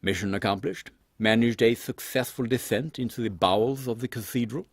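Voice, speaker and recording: A man playing a courteous, dutiful airship captain in an audio drama, speaking with formal military politeness in a husky voice. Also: medium pitch, brisk, no room sound, clean studio sound. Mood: courteous, brisk.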